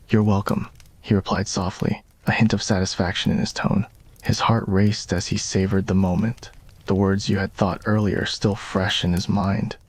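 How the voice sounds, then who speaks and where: Guy narrating an asmr romance roleplay, kinda low voice, scripted story reading.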